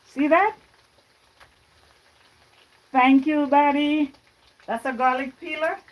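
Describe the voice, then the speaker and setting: A woman's voice: high voice, steady tone, slow, poor audio. A woman born in Jamaica, chatting to her livestream viewers as she cooks in her home kitchen. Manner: chatty, talks to viewers while cooking. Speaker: livestream cooking host. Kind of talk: casual cooking livestream. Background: frying and stirring sounds.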